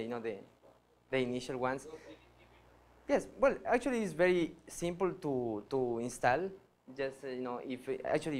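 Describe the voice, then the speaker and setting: A man in his thirties from Ecuador, a PhD student giving a workshop presentation with many pauses and restarts. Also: medium pitch, slight background noise. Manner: hesitant, many fillers and restarts, earnest. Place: lecture hall.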